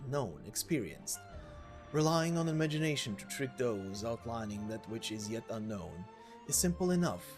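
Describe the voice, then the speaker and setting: Theatrical storyteller, acted by a man, archaic rhetorical phrasing, expressive pitch, dramatic tale-telling, quiet music. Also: natural voice. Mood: musing. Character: theatrical old-style storyteller recounting a melancholy tale.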